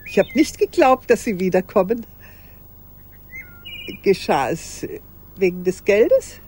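An older woman acting a formal, lonely old woman in a radio play monologue, speaking in a measured, deliberate way. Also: mid-range voice, very animated, mellow, a little hiss or hum. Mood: polite, expectant.

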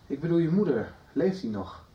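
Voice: mid-range voice, big pitch swings, normal speed, close to an everyday voice. An actor as a surprised, insistent questioner. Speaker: radio drama character. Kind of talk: 1990s radio drama acting. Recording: distant, roomy, dull sound.

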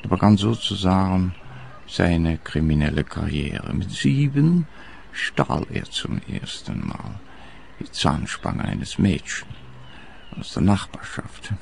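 An old man in a radio play, recalling his son in a deadpan way. His voice is very low and slow, with swinging intonation. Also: vocal fry, a little hiss or hum, exaggerated voice. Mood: deadpan reminiscing. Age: older.

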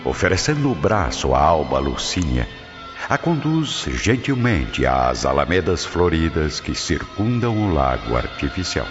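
A man's voice: rumbling low, big pitch swings, measured pace. A man with a Brazilian accent reading dramatic scripted narration for a radio drama. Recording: dull lo-fi audio under loud music. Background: music.

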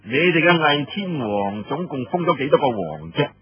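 A man voicing an imperious, sly senior army commander in a Cantonese audiobook story, questioning his listeners in a commanding, drawn-out way. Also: fairly high pitch, big pitch swings, soft background music. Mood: probing. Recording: muffled lo-fi roomy radio-like recording.

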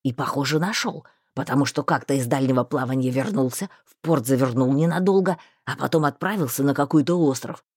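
A woman voicing a bluff, talkative male mage with a rough, folksy, rambling way of speaking. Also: extremely low pitch, fairly quick, hardly any pauses, darkish tone, no echo, studio quality, pitched below the performer's own voice.

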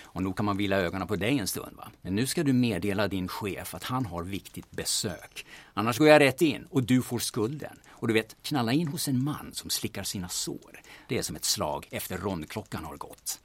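An actor playing a brash, flirtatious man from the big city who talks in a smooth, cheeky, insinuating way in an audio drama. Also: fairly low pitch, animated. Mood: cocky, teasing. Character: brash, flirtatious Stockholm man posing as auction-house buyer.

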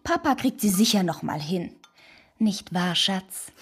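Placid, doting hero's wife and mum, lilting wide range, slow delivery, children's radio drama. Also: female voice, mid-range voice, big pitch swings, slightly rough. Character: doting, placid mother of a superhero family. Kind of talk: children's radio play acting.

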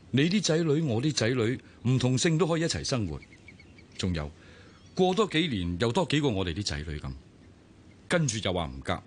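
A worldly middle-aged Hong Kong Cantonese brother-in-law in a radio drama. He gives advice in a calm, fatherly way. His low voice moves lively over a faint noise. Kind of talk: 1990s radio drama acting.

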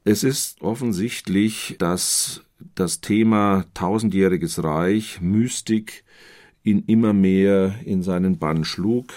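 Man, very low pitch, unhurried, deliberate halting delivery, formal scholarly commentary. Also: darkish tone. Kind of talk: explanatory commentary.

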